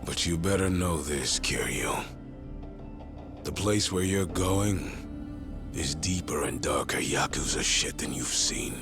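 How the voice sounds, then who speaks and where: An actor playing a grim veteran gangster in a video game cutscene, speaking in a hushed, gravelly way. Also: male voice, rumbling low, slow pace, heavily acted. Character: grim veteran gangster warning a young man. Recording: dialogue over game music.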